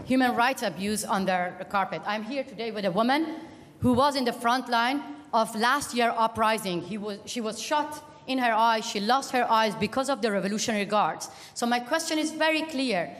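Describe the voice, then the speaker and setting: A woman from Iran asking a loud, direct and impassioned question at a conference panel. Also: fairly high pitch, moderate pace. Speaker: audience member asking a question. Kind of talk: forceful public question at a panel. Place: large conference hall. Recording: long hall reverb.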